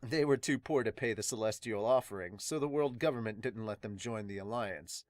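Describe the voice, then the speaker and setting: A man playing a weary, solemn pirate doctor in a fan manga dub, subdued, measured and earnest. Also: fairly low pitch, dry recording, studio quality, lightly acted. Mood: solemn, reflective. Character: weary, solemn veteran pirate doctor recounting his late captain.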